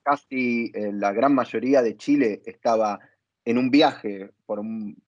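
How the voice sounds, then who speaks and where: A man speaking as an interviewer in a conversational panel, phrasing his thoughts in a halting, reflective way. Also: mid-range voice, darkish tone, slight background noise. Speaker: interviewer and theatre dramaturg. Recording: dull lo-fi sound.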